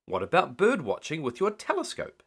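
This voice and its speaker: A man's voice: fairly high pitch, huge pitch swings, normal speed, a bit bright, voice pushed up, exaggerated voice. A man voicing a lighthouse keeper's older wife in a storybook, fussing, chiding and teasing. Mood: concerned. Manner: chiding, solicitous.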